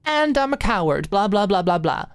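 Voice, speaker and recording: A woman's voice: mid-range voice, sing-song, normal speed, dry recording, pitched above the performer's own voice, close to an everyday voice. A woman voicing a giddy, playful young woman in an audiobook, tipsy and teasing, with a squealing, pouty manner. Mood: playful outrage. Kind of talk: audiobook character acting.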